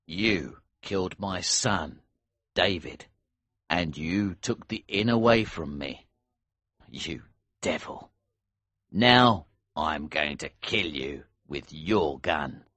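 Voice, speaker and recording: A deep, slow-spoken smuggler chief, as a male narrator puts him on, cold and menacing, with a bright, sharp edge and lively swings in pitch. Close, dry recording. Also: dry recording, exaggerated voice. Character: cold, vengeful middle-aged male smuggler leader. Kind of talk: audiobook character voice.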